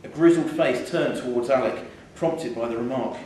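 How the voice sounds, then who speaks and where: A man reading a short story aloud in a measured, wry storytelling manner, live in a theatre. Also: medium pitch, a little mellow. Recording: echoey theatre hall, distant mic, faint noise.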